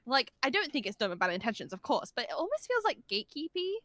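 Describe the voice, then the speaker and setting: A woman's voice: a little high, very animated, quick pace, sharp tone, dry recording, studio quality. A woman in a casual interview, giving opinionated, emphatic advice as a guest voice actor, recorded at home on a dynamic microphone. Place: large untreated room at home.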